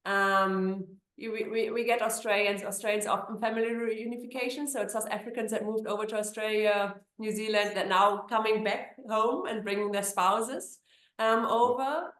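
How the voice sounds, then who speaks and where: A woman's voice: medium pitch, fairly even intonation, normal speed, fairly bright tone, roomy sound. A woman from Germany with a German accent, an immigration attorney answering interview questions in a chatty way with many fillers and restarts.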